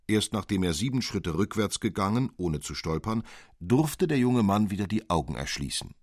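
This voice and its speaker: A man reading a literary fairy tale as a narrator, in an expressive, theatrical storytelling manner. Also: fairly low pitch, normal speed, no room sound, clean studio sound. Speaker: audiobook narrator.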